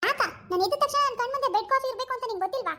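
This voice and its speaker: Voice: very high-pitched, racing, pushed and bright. A performer voicing a spoiled, bossy young wife in a comic family skit who talks in a haughty, whiny way and mixes in English words. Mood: demanding.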